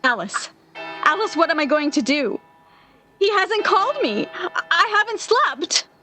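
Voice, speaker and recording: An actress playing an anxious, lovesick young woman in 1940s-style radio theater, pleading and halting in a melodramatic way. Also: extremely high pitch, very animated, sharp tone, faint music behind, exaggerated voice. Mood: anxious, hurt. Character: Anne, lovesick, anxious, desperate young woman clinging to love.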